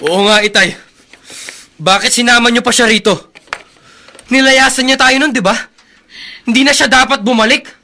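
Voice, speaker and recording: Very high-pitched, forceful young Filipino son. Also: male voice, normal speed, gravelly, pauses often, full character voice. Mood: bitter, accusing. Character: bitter, unforgiving grown son, young professional. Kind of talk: Filipino radio drama acting.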